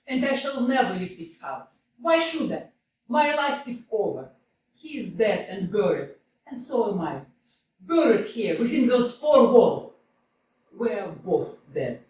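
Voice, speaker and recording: A woman's voice: mid-range voice, very animated, measured pace, a little mellow, distant mic, a little hiss or hum. Woman reading a melodramatic young widow. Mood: mournful. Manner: theatrical, sweeping declarations. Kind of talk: staged reading of a stage play. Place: small community theater stage. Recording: echoey stage picked up from afar.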